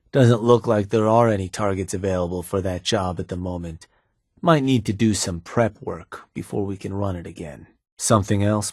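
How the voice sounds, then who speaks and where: An actor playing a gruff, laid-back male raider fixer who talks in a dry, matter-of-fact, sardonic way. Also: deep voice, a little mellow, dry recording. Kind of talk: video game voice acting.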